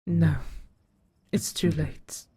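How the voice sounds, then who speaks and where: Woman acting a husky, dying monster woman. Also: low voice, steady tone, mellow, exaggerated voice. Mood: anguished, resigned. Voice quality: husky, breathy. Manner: slow, halting, weary. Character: dying monstrous hunter woman, bitter yet tender kin. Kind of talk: gothic audio drama acting.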